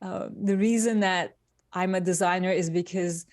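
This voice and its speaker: A woman from Turkey, speaking as an interview guest in unhurried, deliberate phrases. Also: on the low side, fairly even intonation, a little mellow, dry recording, studio quality. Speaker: guest, industrial designer. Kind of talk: conversational interview answers.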